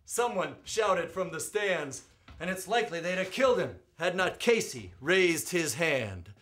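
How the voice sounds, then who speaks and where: A man performing as a poetry reader, with a theatrical, emphatic storytelling delivery and drawn-out words. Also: sits high, very animated, fairly bright tone. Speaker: performer reading poetry aloud. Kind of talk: dramatic poetry recitation with spoken introduction.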